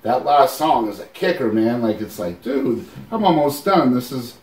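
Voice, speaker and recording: A man's voice: medium pitch, expressive, moderate pace, a little mellow. A man with a Boston accent hosting an interview show, chatty and enthusiastic. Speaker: podcast host. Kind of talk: casual interview chat. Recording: roomy, from a distance.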